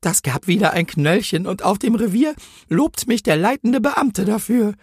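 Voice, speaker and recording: A man's voice: sits high, lively intonation, normal speed, husky, no echo, studio quality, pitched above the performer's own voice. A man voicing a bullying, officious female traffic warden in a comic Christmas story, with a scolding, sneering manner. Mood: remorseful confessing.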